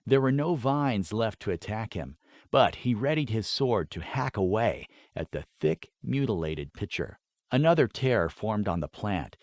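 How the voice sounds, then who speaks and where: A man's voice: lowish voice, expressive, measured pace, no room sound, studio quality. A man reading scripted fantasy fiction as a dramatic audiobook narrator.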